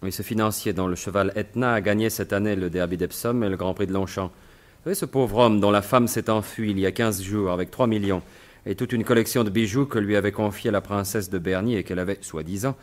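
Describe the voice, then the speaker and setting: An actor playing a sly, self-assured gentleman thief turned sleuth in an animated 1950s-style French radio mystery. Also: fairly low pitch, brisk, natural voice. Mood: confident, explaining.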